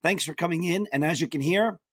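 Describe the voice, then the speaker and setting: A man's voice: on the high side, a little fast, a bit bright, breathy edge, dry recording, clean studio sound. A man hosting a casual sports talk show over a remote call, upbeat and chatty while losing his voice. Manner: talkative, upbeat, jumps between topics. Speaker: podcast host.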